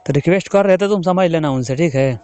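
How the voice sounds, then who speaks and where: A man's voice: a little high, fast talker, a little mellow, no room sound. A young man from the of India, chatting casually with his wife, teasing and coaxing. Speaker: husband working away from home. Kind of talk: casual phone chat.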